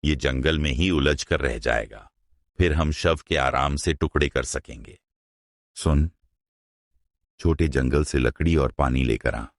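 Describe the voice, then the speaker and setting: A man's voice: rumbling low, vocal fry, no echo, studio quality, exaggerated voice. A man playing a cruel, commanding eldest brother in a cartoon moral story. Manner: menacing, commanding.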